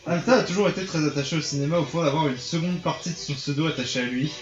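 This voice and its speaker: A man's voice: fairly high pitch, fast talker. A man streaming a visual novel, reading the text aloud and mixing in casual reactions, sometimes stumbling over words. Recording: roomy, dull sound with music behind.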